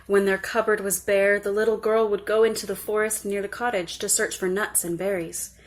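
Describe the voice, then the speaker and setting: Woman narrating a children's story. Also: medium pitch. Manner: measured, expressive.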